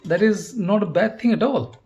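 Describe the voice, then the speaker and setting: A man with a somewhat high voice gives an unscripted review of audio gear. His delivery is halting, and he often circles back to repeat a word or short phrase before moving on. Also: a little mellow.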